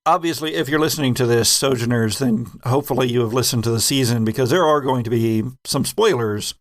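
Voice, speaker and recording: A man's voice: mid-range voice, brisk. A man hosting a podcast, chatting casually as the game master looking back on a finished season. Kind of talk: casual retrospective chat.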